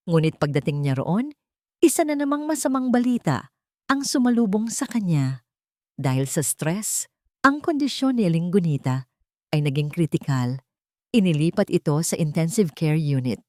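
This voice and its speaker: A woman reading a scripted dramatic story in a solemn, measured storyteller's manner. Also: on the low side, darkish tone, no echo, clean studio sound. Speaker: story narrator.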